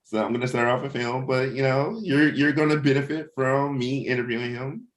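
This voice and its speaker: A man's voice: mid-range voice, fairly even intonation, brisk. A man talking casually and informally as he leads a team meeting of comic book creators, often checking in with his listeners. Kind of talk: casual chat, planning and anecdotes.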